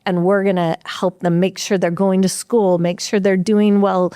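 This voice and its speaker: A woman's voice: medium pitch, level tone, moderate pace, mellow, smooth, dry recording, studio quality. A woman talking as a guest on a podcast, explaining her nonprofit's work in an earnest, upbeat way with occasional restarts. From United States. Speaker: executive director of a refugee nonprofit, podcast guest. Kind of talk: conversational podcast interview.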